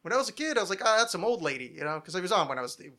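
A man's voice: sits high, very animated, racing, pushed and bright. A man in his thirties hosting a podcast, chatting casually in a rambling, freely sweary way. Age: 30s. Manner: rambling, digressive, freely profane. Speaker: podcast host. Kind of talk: casual chat about television.

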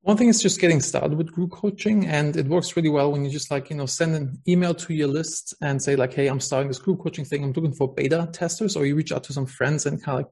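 A man's voice: on the high side, vocal fry, darkish tone, slightly rough. A man with a German accent, a podcast guest talking earnestly about his work, with many fillers and restarts. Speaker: podcast guest, software founder. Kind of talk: casual interview talk.

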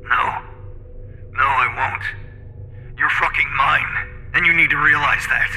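A smug, possessive young man, acted by a male narrator in a horror audiobook. He coaxes with menace in a forceful tone, wrapped in long, cavernous reverb. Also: on the high side, steady tone, unhurried, faint music behind, pitched below the performer's own voice. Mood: menacing.

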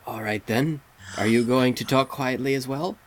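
A man's voice: medium pitch, normal speed. A man hosting a fan site interview, polite and earnest, hesitating with fillers. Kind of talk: casual interview.

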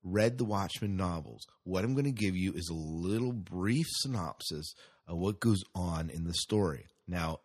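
Male podcast host, American, low husky voice, casual and unpolished, self-correcting delivery. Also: a little mellow. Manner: informal, hesitant, self-correcting. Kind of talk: casual sci-fi news and movie segment talk.

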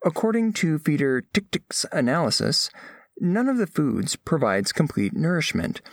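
A man's voice: mid-range voice, no echo, studio quality, exaggerated voice. A man playing a formal, curious alien scholar who narrates an audio drama in precise, clinical wording. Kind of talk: scripted first-person story narration.

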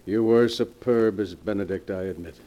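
An actor playing a sly, greedy accomplice in a 1940s radio melodrama, smooth-talking and wry. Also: male voice, lowish voice, moderate pace, mellow, muffled recording, close to an everyday voice. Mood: wry flattery. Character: sly, greedy male accomplice, flattering and wary. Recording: noisy 1940s radio recording.

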